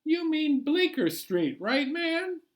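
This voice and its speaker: A man reading a picture book aloud as a chatty, bemused New York City taxi driver, colloquial and animated. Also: very high voice, slow, voice pushed up, full character voice. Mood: surprised, questioning. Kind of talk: first-person picture book read-aloud.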